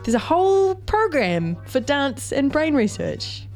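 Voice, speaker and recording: A woman hosting a radio interview, lively and bantering with her guest. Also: high voice, a little slow, faint music behind. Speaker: host.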